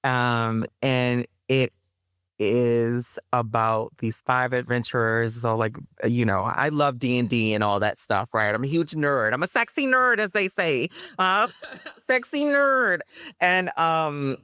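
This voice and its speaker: A woman's voice: rumbling low, lively intonation, slow pace, a bit bright, clean tone, no echo, clean studio sound. A woman author and performer answering interview questions in a loose, rambling way, full of ums and restarts, with a playful streak. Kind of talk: casual interview talk.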